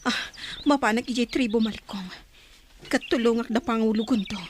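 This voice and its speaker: An actress in an Ilocano radio drama playing a resolute, secretive young daughter, speaking earnestly. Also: female voice, fairly high pitch, normal speed, lightly acted. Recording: faint noise with birdsong behind.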